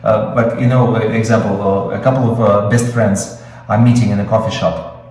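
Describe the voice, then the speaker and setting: A man's voice: fairly low pitch, fairly even intonation, moderate pace, darkish tone, heavy reverb, far from the mic, rough recording. A man with a Russian accent, Russian his first language, teaching screenwriting in an improvised webinar, explaining with many fillers and restarts. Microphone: video call.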